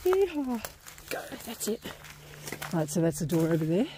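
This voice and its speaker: A woman exploring outdoors, giving excitable commentary with frequent laughs and gasps. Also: lowish voice, normal speed, noisy background. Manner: excitable, laughs often, breaks into gasps and whispers. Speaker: vlogger exploring abandoned places. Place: outdoors on an overgrown rural property.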